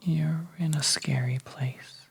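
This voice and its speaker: Whispered ASMR narration, very breathy, crackly fry, soft storyteller, hushed suspense story. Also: mid-range voice, fairly even intonation. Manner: soft, hushed, gentle delivery with pauses. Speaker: audio storyteller.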